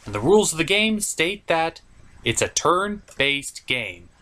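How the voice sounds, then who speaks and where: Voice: mid-range voice, big pitch swings, unhurried, fairly bright tone. A reviewer giving a scripted comedic movie recap in a sarcastic, mock-exasperated way. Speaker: host reviewer.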